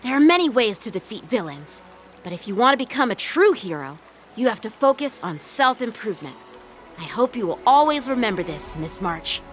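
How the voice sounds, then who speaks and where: An actress playing a polite, composed young sword master with a gently teasing streak in an anime-style video game, courteous and measured in manner. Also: female voice, a little high, very animated, faint music behind. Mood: encouraging.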